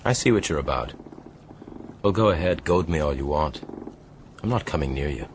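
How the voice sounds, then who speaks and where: Very deep, stern, guarded man. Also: expressive, normal speed, slight background noise. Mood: cold, defiant. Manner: terse, firm. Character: guarded, stern gentleman turned jailer, unyielding. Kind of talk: radio drama acting.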